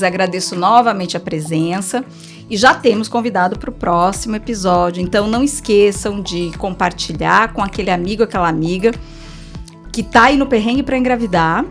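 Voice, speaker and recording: A woman hosting a health podcast, thanking guests and giving an upbeat sign-off. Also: mid-range voice. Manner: warm thanks and upbeat outro. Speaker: podcast host.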